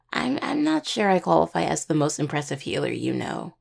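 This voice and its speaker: A young woman playing a caring, teasing necromancer healer in an intimate audio roleplay, with a husky voice and conversational delivery. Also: low-pitched, a little mellow, full character voice. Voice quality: husky, gravelly. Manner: hesitant, teasing, full of pet names.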